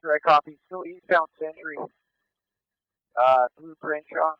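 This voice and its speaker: A man on police radio calling out pursuit directions live, halting and clipped, often repeating street directions. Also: on the high side, clear, resonant tone, no room sound. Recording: muffled police scanner radio audio.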